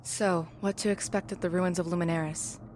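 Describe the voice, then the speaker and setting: A woman's voice: lowish voice, fairly even intonation, fairly quick, darkish tone, slight background noise, close to an everyday voice. Woman acting a cynical, no-nonsense young woman. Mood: curt. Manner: dry, blunt. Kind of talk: audio drama acting.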